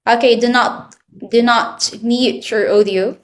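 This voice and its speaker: A woman's voice: fairly high pitch, fairly even intonation, slow. A woman from the Philippines teaching an online English class to children, asking patient prompting questions over a video call.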